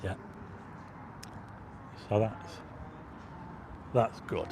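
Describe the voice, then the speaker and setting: A man hosting a casual livestream on a windy seafront at dusk, chatting and reading out viewer comments, his voice going hoarse with frequent throat clearing. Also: fairly low pitch, drawn-out words. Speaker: livestream host. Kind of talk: casual walking livestream chat.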